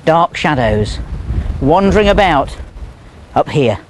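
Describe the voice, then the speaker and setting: A man guiding a paranormal documentary tour to camera, telling eerie local history in measured, short phrases. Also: fairly high pitch, big pitch swings, slight background noise. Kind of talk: documentary guide talk to camera. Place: outdoors among castle ruins.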